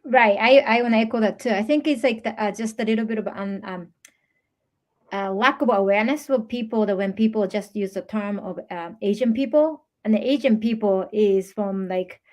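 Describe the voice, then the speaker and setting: Woman, 40s, Japanese first language, panelist, searches for words, fills pauses. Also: mid-range voice, a little mellow. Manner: searching for words, frequent fillers.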